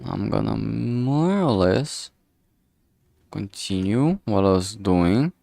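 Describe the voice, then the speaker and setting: The man you'd hear on a late art livestream, close and dry on the mic, murmuring his steps in a lowish voice as he draws. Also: lively intonation, vocal fry, dark tone, no room sound. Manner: halting, self-talking, mutters to himself. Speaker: streamer making visual novel art. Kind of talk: casual thinking aloud while drawing on stream.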